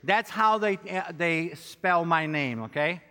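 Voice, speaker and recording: A man's voice: a little high, lively intonation, slow pace, sharp tone, clean tone. A middle-aged man from Brazil with a Brazilian accent, a native Portuguese speaker, preaching to a conference as a pastor and addressing listeners directly in a joking, self-deprecating way. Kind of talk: conference sermon with audience interaction. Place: large hall, speaking to a conference audience. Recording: reverberant hall sound.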